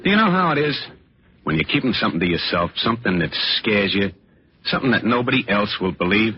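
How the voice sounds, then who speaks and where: A man's voice: fairly low pitch, sing-song, quick pace, breathy edge. An actor playing a jittery, slangy American salesman telling his story in a 1940s radio noir drama. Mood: haunted, agitated. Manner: agitated, slangy, tough-talking. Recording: dull vintage radio recording.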